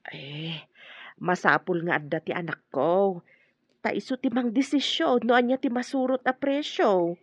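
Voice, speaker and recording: An Ilocano-accented woman playing a proud, protective middle-aged mother in a radio drama, with a husky voice. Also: mid-range voice, unhurried, no echo, muffled recording. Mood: probing. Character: proud, protective mother sizing up her child's in-laws.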